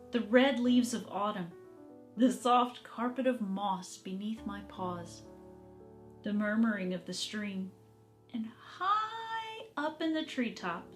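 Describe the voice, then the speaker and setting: A woman voicing a longing captive housecat who tells its own story in a children's book, dreamy, deliberate and dramatic. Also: on the high side.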